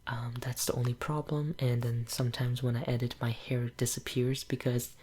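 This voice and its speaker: Young woman, native Cantonese speaker, breathy whisper, close mic, rambling ASMR chat. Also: very deep voice, steady tone, moderate pace. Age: young adult. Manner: soft whisper, rambling with many fillers. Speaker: ASMR channel creator. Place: home room with green screen.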